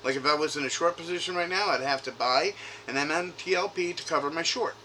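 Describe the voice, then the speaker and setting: A man's voice: a little high, quick pace, sharp tone. A man talking informally on a phone call as a caller, insistently questioning and often rephrasing as he goes. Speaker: caller, retail investor. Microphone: makeshift recording device grabbed during call. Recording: faint noise, recorded phone call.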